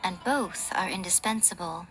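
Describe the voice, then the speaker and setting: A woman's voice: fairly low pitch, moderate pace, natural voice, low-quality recording. A woman as a sly shrine maiden over music. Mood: caring, poised. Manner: teasing, poised, theatrical phrasing. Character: playful, sly, elegant shrine maiden, teasing and self-assured. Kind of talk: video game voice acting. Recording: studio voice under prominent music.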